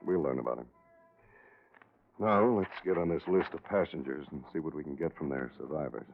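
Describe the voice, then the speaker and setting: An American actor playing a gruff, curt, businesslike state police captain in 1950s radio crime drama. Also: male voice, rumbling low, brisk. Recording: thin, narrow-band old radio recording.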